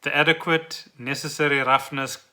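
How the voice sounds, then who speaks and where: A man with a South African accent and a very bright, forward voice. He talks casually and off the cuff, as a podcast co-host thinking aloud. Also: on the high side, fairly even intonation, normal speed. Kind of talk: casual unscripted podcast chat.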